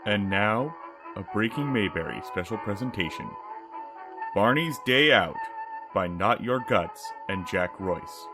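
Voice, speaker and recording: A man announces a program title in a scripted style. His voice is fairly low and slow, with music clearly playing behind it. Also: lively intonation.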